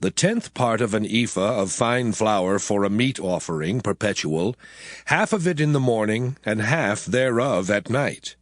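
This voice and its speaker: A man reading scripture aloud in a measured, expressive way. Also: fairly low pitch, no echo, clean studio sound. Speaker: scripture reader. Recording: voice over clear background music.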